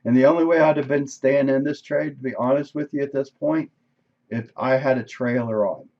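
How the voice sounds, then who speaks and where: A man reviewing his day's trades on a live stream, casual and thinking aloud, with a voice that is going hoarse. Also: mid-range voice, fairly even intonation, fairly quick, dull audio. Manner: conversational, thinking aloud, frequent "right?". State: losing his voice, coughing. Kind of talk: casual screen-share trade recap. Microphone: desk microphone.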